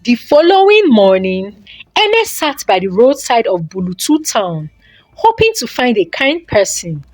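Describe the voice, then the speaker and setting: A woman's voice: a little high, sing-song, a little slow, no room sound. A woman narrating a folktale as a dramatic storyteller.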